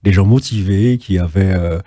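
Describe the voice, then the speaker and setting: An older man from Guadeloupe speaks as a guest in a TV studio interview, his voice low, dark and a little husky. He talks in a measured, reflective way and repeats phrases for emphasis. The recording is dry and close, taken on a lapel mic. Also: dry recording. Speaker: guest, journalist and writer.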